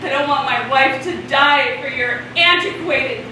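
A very high, impassioned voice rings through an echoey hall, heard from far off. It is a woman on stage playing an indignant, anguished woman in crisis, delivering a theatrical monologue with heavy emphasis. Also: measured pace, background noise. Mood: anguished pleading. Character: indignant, anguished women in crisis over lost rights. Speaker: stage actor. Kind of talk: theatrical monologue in a one-act play. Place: indoor stage before a seated audience. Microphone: distant camera.

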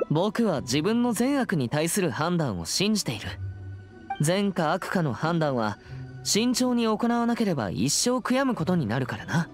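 A serious teenage boy exorcist from an anime game. The voice swings widely in pitch, formal and principled, with music behind it. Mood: earnest.

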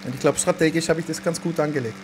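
A man's voice: on the high side, steady tone, very fast. A man in his thirties with an Austrian accent, talking politely and chattily while roleplaying a prosecutor at a home streaming setup. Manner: polite, chatty, talks with a put-on Viennese lilt. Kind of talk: in-character roleplay chat. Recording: game car noise behind.

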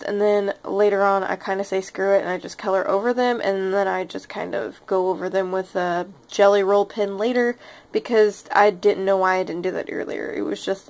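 A young woman with a nasal, slightly sick-sounding voice, chatting casually and rambling with many ums and likes as she hosts her art channel. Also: mid-range voice. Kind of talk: casual voice-over chat over a speed paint.

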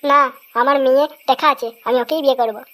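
A woman's voice: high voice, fast talker, fairly bright tone. A woman voicing a whiny, stubborn little village boy in a comic cartoon, childish and insistent. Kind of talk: comic Bengali cartoon dubbing.

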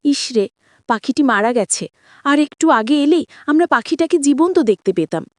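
A woman's voice: high-pitched, expressive, moderate pace, a little mellow, no room sound, studio quality. A woman voicing a kind-hearted, earnest young girl heroine in a fairy-tale cartoon, with a pleading manner. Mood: concerned. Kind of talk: cartoon voice acting.

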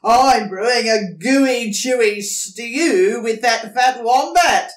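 A woman's voice: a little high, slow pace, roomy sound, pitched above the performer's own voice. A woman voicing a sly, boastful male dingo in a storybook, exuberant and sing-song. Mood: gleeful.

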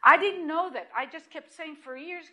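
A middle-aged woman with an Israeli accent, giving an animated lecture in a room, heard from a distant camera. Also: high voice, normal speed. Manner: animated and emphatic, with restarts and repeated points. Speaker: lecturer at hospital grand rounds. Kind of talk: lecture presentation.

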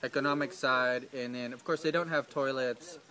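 A man's voice: medium pitch, fairly even intonation, normal speed, sharp tone. A man in his thirties answering interview questions outdoors in a garden, hesitant, with many ums and restarts. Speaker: field staff.

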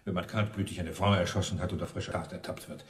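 An actor playing a dogged, sarcastic police inspector who questions in a probing, dry way, in radio crime drama style. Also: male voice, deep voice, fairly even intonation, fast talker, recorded from a distance, lightly acted. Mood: sardonic, pressing.

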